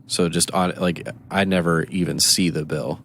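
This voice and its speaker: Deep-voiced man rambling in casual chat. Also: normal speed, slight background noise. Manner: rambling, animated storytelling with quoted exchanges. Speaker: podcast co-host.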